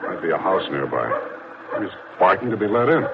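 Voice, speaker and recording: An actor playing a tense, protective husband, firm and wary, in an old-time radio thriller. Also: male voice, low-pitched, very animated, fairly quick. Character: tense, protective husband trying to keep control. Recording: muffled old radio drama recording.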